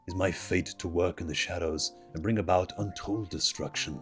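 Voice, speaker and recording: A man reads out a learned fantasy game scholar with a low voice. He is solemn and grave, speaking in formal, measured phrases over game music. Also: fairly even intonation, lightly acted. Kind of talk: reading fantasy game dialogue aloud.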